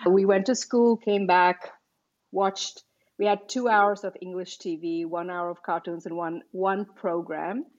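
Woman from kuwait telling her story on a podcast, measured and thoughtful, kinda muffled audio. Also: on the low side, steady tone, darkish tone. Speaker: podcast guest. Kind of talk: casual podcast interview.

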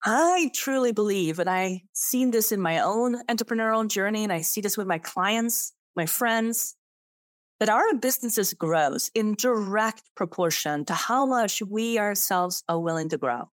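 A woman's voice: mid-range voice, lively intonation, a little slow, no echo, studio quality. A middle-aged woman from Sweden with a Swedish accent, a non-native English speaker, hosting a solo podcast from her home office in an earnest, emphatic way. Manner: earnest, emphatic, rising on key words. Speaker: podcast host.

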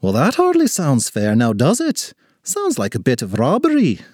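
A man's voice: medium pitch, huge pitch swings, normal speed, darkish tone, breathy edge, no room sound, studio quality. A man voicing a little forgetful, feisty leprechaun with an Irish accent in a storybook tale. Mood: indignant. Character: little red-bearded male leprechaun, forgetful, feisty and chatty.

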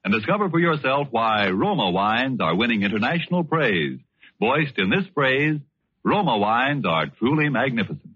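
A man's voice: medium pitch, big pitch swings, normal speed, breathy edge, no room sound. A man working as a radio announcer, reading a 1940s advertisement with polished, emphatic salesmanship. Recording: muffled, narrow old 1940s radio recording.

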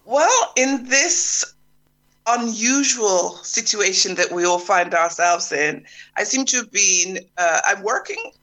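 A middle-aged woman from Jamaica, recalling her life in a relaxed interview with restarts and soft laughs. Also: medium pitch, slow pace, low-quality recording. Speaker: interview guest.

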